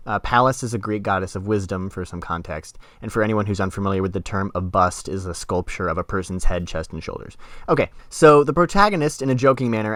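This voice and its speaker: A man's voice: fairly low pitch, fairly bright tone, no room sound, studio quality. A man hosting a podcast, explaining literature in a casual, wordy way with informal asides. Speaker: podcast host.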